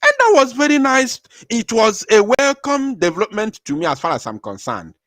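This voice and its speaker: A man hosting a livestream, talking with emphatic repetition and sudden swings from quiet to loud. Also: high voice, huge pitch swings, moderate pace, dry recording, clean studio sound. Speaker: livestream host. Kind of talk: impassioned livestream talk.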